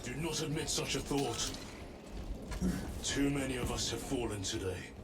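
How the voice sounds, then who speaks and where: A man voicing a zealous armored warrior with forceful battle calls, in a game cutscene. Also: mid-range voice, moderate pace, over music, lightly acted. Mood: gruff. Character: gruff zealous male armored warrior, scornful of foes. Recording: game cutscene with loud score.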